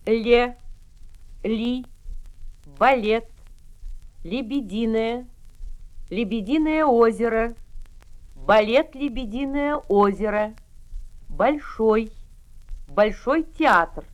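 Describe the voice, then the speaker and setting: Woman, extremely slow, sing-song overarticulation, lo-fi tape sound. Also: fairly high pitch. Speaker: pronunciation drill reader. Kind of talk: slow, exaggerated pronunciation drills.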